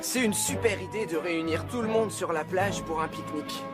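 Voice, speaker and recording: An actor playing a naive, childlike and clumsy firefighter in a French cartoon dub, guileless and expressive. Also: male voice, high-pitched, a little fast, natural voice. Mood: eager. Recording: music behind the voice.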